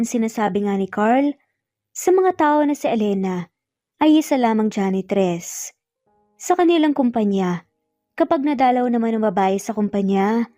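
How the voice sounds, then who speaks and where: A woman's voice: a little high, warm tone, no room sound, clean studio sound. A woman with a Filipino accent reading a scripted dramatic story as a storyteller. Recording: dull lo-fi audio, music behind. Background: background music.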